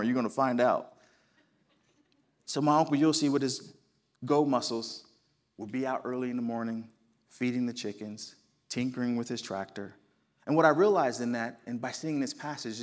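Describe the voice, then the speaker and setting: A man in his forties with a South Jersey American accent, preaching a sermon in a conversational, folksy storytelling way. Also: medium pitch. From New Jersey, United States. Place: church sanctuary.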